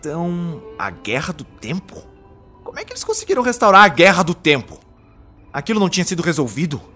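A man's voice: a little high, huge pitch swings, brisk, pushed and bright, exaggerated voice. Guy acting as brazilian time lord hero in an audio drama, emphatic n dismissive, voice swings a lot, faint music. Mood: incredulous. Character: indignant male Time Lord, renouncing his old title.

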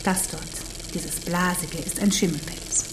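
Low-voiced, precise female teacher played by an actress. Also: fairly even intonation, unhurried, noisy background, close to an everyday voice. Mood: instructing. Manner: didactic, precise, instructing. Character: female non-human teacher, learned, patient, firm. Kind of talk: German radio drama acting.

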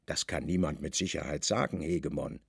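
An actor playing a guarded secret service chief in a radio play, calm, deferential and cunning. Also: male voice, rumbling low, brisk, creaky, husky, no echo, studio quality, heavily acted. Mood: calm deference.

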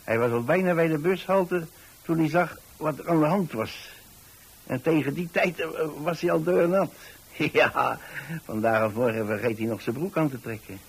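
A man's voice: on the high side, normal speed, breathy edge, poor audio. An actor playing a kindly older landlord in a radio monologue, chatty and hesitant as he rambles along. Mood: amused. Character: kindly rambling old pensioner landlord, widower-like homebody.

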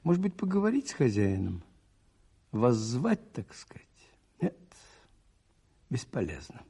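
Radio play priest detective: gravelly, dark-toned, thoughtful, questioning himself as he reasons. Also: medium pitch, very animated, measured pace, full character voice. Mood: puzzled, musing. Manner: musing, questioning himself aloud. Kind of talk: radio play narration and monologue.

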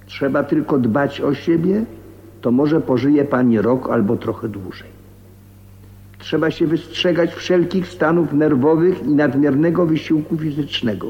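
Grave doctor in long reverb. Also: male voice, a little high. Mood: grave, clinical. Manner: measured, grave, matter-of-fact. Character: formal, blunt male heart specialist reading his letter. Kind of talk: radio drama voice-over of a letter. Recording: muffled, cavernous reverberant radio drama recording.

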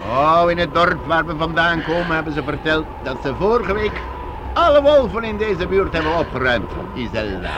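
Casting: fatherly, commanding troupe master, animated delivery, old radio drama with clear background noise. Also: fairly high pitch, normal speed. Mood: fondly resigned. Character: older master of a wandering troupe of minstrels, kindly but bossy. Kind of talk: radio play acting for children.

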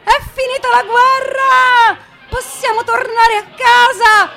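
A woman playing a naive, excitable, homesick Italian soldier on stage, in colloquial Veneto speech. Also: extremely high pitch, slow, creaky, faint music behind, voice pushed up, heavily acted. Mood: jubilant, shouting. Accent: northern Italian, Veneto. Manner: colloquial, emotional dialect exchanges.

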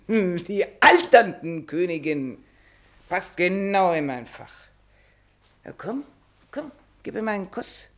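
An actress in a radio play playing an aging, hurt stage actress who is mocking and defiantly merry. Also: female voice, fairly low pitch, sing-song, takes it slowly, long pauses. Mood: defiant mockery. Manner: mocking, forced gaiety with laughter. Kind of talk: theatrical German radio play acting.

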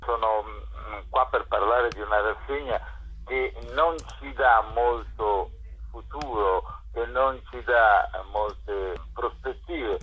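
Slow, husky man on a muffled phone. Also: mid-range voice. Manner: deliberate, hesitant, pausing between phrases. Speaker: festival curator, interview guest. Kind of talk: measured phone interview. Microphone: telephone. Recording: dull, muffled telephone line.

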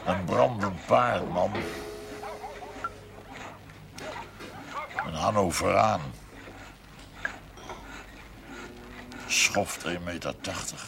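An actor playing a gruff, stubborn but soft-hearted older husband in a television period drama, gravelly, blunt and halting. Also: male voice, low-pitched, full character voice. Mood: shaken, gruff. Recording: noisy old television soundtrack.

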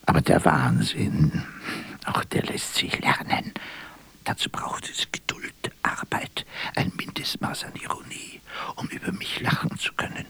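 A weary, ironic theatre actor reciting a radio monologue. The voice is breathy and rough-edged, fairly low, and moves very slowly, halting for effect and often falling to a whisper. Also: male voice, a bit bright, full character voice. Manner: declamatory, halting, bitterly ironic. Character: world-weary, self-mocking male stage actor delivering a mad monologue.

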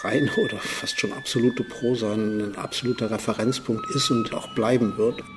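A man's voice: lowish voice, steady tone, normal speed. A man speaking as an interviewed writer, reflective and analytical in his commentary.

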